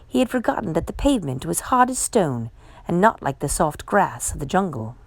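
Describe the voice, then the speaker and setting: A woman reading a children's picture book aloud with measured, emphatic storyteller phrasing. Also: fairly low pitch, very animated, no echo. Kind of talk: expressive children's read-aloud narration.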